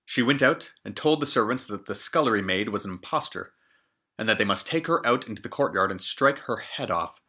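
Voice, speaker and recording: A man in his twenties reading a fairy tale aloud, expressive and jokey, with casual asides. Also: fairly low pitch, fairly quick. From Canada. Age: 20s. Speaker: storyteller.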